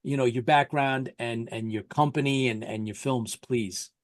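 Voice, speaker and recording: A man's voice: medium pitch, steady tone, moderate pace, dry recording, clean studio sound. A man hosting a casual live web show, talking in a loose, rambling way with many fillers and restarts. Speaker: show host. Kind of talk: casual livestream chat.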